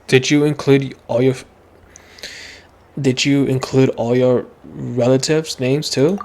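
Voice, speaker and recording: An actor playing a calm, gentle, reserved young traveler in a video game, with a measured, understated delivery. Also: medium pitch. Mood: mild. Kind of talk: video game dialogue acting.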